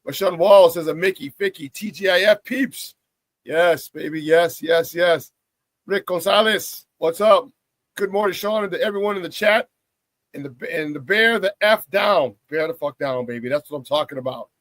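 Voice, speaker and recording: A hoarse, raspy man hosting a morning sports talk show, riffing playfully in a casual, hype-man style. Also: a little high, normal speed, fairly bright tone, no echo. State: hoarse from a cold.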